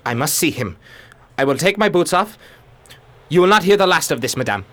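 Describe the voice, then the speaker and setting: A woman's voice: low-pitched, animated, a little fast, voice pushed down. Woman playing a stiff, pompous male secretary. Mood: indignant. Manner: formal, indignant. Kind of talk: radio drama acting.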